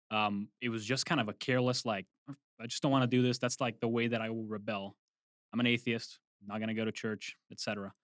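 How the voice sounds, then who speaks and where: A young adult man from Brazil, a guest film composer, telling his story in a relaxed, rambling interview style with frequent restarts. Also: medium pitch, no room sound, clean studio sound. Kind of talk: casual interview chat.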